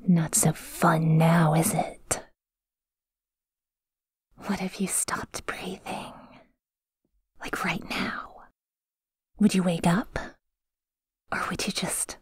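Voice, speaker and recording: A woman playing a possessive, menacing, teasing yandere demon in a breathy, whispered ASMR roleplay, slow and intimate. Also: fairly low pitch, no room sound, studio quality, exaggerated voice. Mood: taunting.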